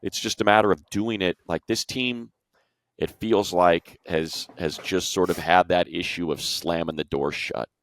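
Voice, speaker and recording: A man's voice: deep voice, fairly even intonation, unhurried, fairly bright tone. A man casually talking sports as an analyst, repeating phrases for emphasis.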